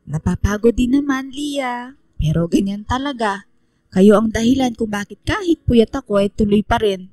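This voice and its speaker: An actress playing a kind, patient, motherly schoolteacher in a radio drama, speaking gently and deliberately. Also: a little high, no room sound, clean studio sound, soft background music, natural voice. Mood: pleasantly surprised.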